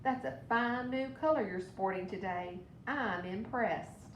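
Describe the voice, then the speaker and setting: A friendly blue jay from a children's storybook, put on by a woman reader. It calls out loudly and with admiring emphasis. The pitch is raised, and the room echoes. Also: measured pace, close to an everyday voice. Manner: calling out, emphatic. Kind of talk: children's storybook read aloud. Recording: echoey room with background rumble.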